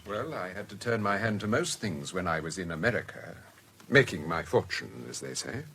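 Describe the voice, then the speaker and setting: A reserved, lonely middle-aged British craftsman in a period radio play. His voice is low, measured and restrained, with an earnest devotion. Some background noise sits behind him. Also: lively intonation, vocal fry, fairly bright tone, exaggerated voice. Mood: guarded, wistful. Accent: British English. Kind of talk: period radio drama acting.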